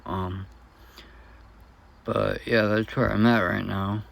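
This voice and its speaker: A man talking in a casual, unscripted vlog, troubleshooting in a hesitant and unsure, halting way. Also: on the low side, level tone, gravelly. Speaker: vlogger.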